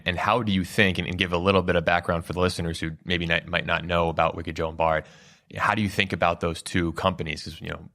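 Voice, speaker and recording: A man hosting a business podcast, asking long, winding interview questions in a measured way. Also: low voice, bright, forceful tone. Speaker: podcast host.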